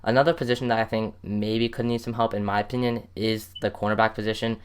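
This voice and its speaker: A man's voice: fairly low pitch, flat delivery, fast talker, clean tone. An American man hosting a sports show in a casual, conversational interview style.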